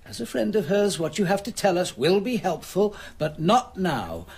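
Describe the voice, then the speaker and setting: Husky, formal police commander. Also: male voice, high-pitched, very animated, darkish tone, exaggerated voice. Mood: polite, firm. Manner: measured, formal, courteous but firm. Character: composed, authoritative male police commander questioning suspects. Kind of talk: radio drama acting and first-person narration.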